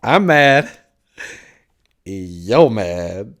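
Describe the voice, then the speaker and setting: A mad, grinning storybook cat, speaking extremely slowly and stretching each word. The pitch swoops about in a mischievous, teasing way, with a breathy, sometimes whispery edge. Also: very animated, fairly bright tone, full character voice. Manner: drawn-out words, swooping and teasing. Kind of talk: storybook character voice.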